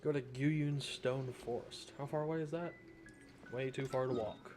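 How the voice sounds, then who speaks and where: A man's voice: medium pitch, steady tone, normal speed, a little mellow, soft background music. A young man giving casual, slangy, joking let's-play commentary as a gaming streamer.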